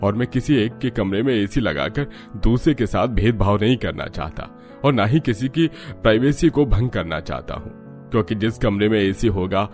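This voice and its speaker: A man playing an older father-in-law in a Hindi cartoon, measured and explanatory as head of the household. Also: fairly low pitch, a little mellow, natural voice. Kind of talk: Hindi cartoon story acting.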